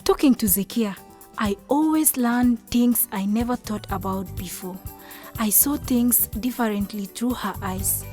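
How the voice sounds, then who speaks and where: An actress plays a young wife in a radio drama, sincere and a little unsure. Her voice is fairly high and reflective, with music in the background. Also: a little mellow, natural voice. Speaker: actor narrating and playing the main character. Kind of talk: first-person radio drama narration and dialogue.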